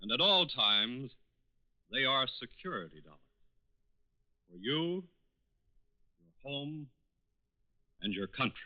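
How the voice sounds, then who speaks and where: A man's voice: mid-range voice, very animated. Formal American 1940s radio announcer man. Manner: formal, declamatory, measured. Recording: muffled 1940s radio recording.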